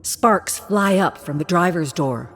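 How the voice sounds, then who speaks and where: Female narrator, low-pitched and unhurried, for intense dramatic story narration. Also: expressive, fairly bright tone, slight background noise.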